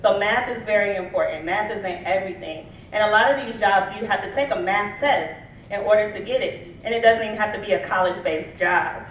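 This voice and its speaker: A frank, down-to-earth woman teacher talks straight with students, her voice sounding dull and lo-fi. She is picked up from far away in a boomy hall. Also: brisk, slight background noise. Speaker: high school math teacher. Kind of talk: speaking to students at a career panel. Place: school auditorium.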